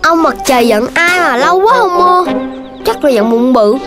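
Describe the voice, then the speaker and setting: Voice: high-pitched, animated, normal speed. An actor voicing a naive, mischievous little child in a children's cartoon, with a Southern Vietnamese accent and an exclamatory, playful delivery. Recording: music behind the voice.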